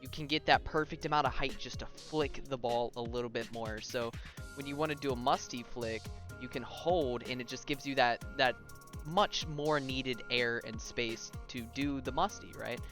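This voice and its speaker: A man's voice: mid-range voice, normal speed, fairly bright tone, faint music behind. A young man giving a casual video game tutorial, talking informally and directly to viewers and often checking in with them. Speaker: gaming tutorial host.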